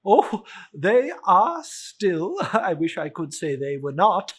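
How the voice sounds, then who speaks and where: A portly, jovial Victorian charity collector acted by a man in a storybook reading. He is effusively polite and hesitant, with a lively, swooping pitch and a slightly rough, breathy tone. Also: takes it slowly, heavily acted. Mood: earnest, flustered.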